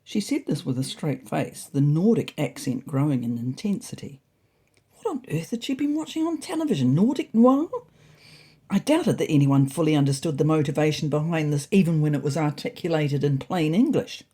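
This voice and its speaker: A woman storyteller with a lowish, husky voice reads a first-person tale. Her delivery is wry and dry, yet lively in its rise and fall. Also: moderate pace.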